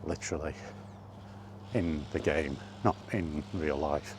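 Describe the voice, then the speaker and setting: Very deep, slow-talking man. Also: noisy background. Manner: hesitant, meandering, thinking aloud. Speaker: gaming content creator and podcaster. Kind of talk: casual rambling vlog chat.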